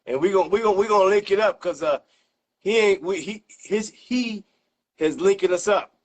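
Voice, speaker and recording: A man's voice: high voice, normal speed. A man, hosting an interview as loose, slangy, profanity-sprinkled chat. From South Central Los Angeles, United States. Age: 50s. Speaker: interview host. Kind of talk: casual chat.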